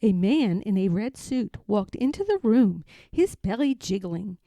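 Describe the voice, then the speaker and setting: A woman reading a children's picture book aloud in an expressive, sing-song way. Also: mid-range voice, measured pace, dry recording, clean studio sound. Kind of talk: storybook read-aloud.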